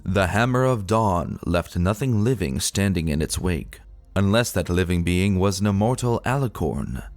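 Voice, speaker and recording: A man giving a dramatic, solemn and measured reading of a dark fantasy story. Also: fairly low pitch. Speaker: narrator reading fan fiction. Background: music.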